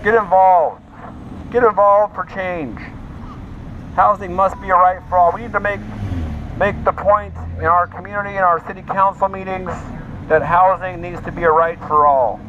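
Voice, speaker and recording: A man's voice: high voice, fairly even intonation, normal speed. A man giving an impassioned, emphatic advocacy speech with religious appeals and repeated slogans through a megaphone. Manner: exhorting, emphatic, repetitive slogans. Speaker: homeless advocate, street speaker. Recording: noisy, dull megaphone sound outdoors with traffic.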